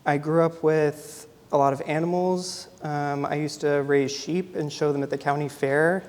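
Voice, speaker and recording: A soft-spoken young man from Idaho gives a relaxed artist talk in a gallery. His voice sits a little high for a man and trails into a long hall reverb. He fills his pauses with "um" as he goes. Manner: soft-spoken, many ums. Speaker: photographer giving an artist talk.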